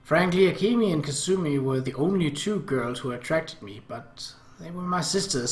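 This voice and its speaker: A man's voice: on the high side, a bit bright. Man reading aloud in an echoey room. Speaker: let's play host reading a game aloud. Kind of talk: reading visual novel narration and dialogue aloud.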